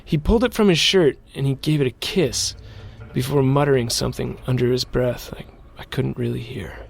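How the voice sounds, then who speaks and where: A brash young rookie pilot played by a man tells his story in a medium-pitched voice. The delivery is scripted first-person audio drama narration with a wary edge. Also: moderate pace, gravelly, lightly acted. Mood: wry, reflective. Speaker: narrator and character.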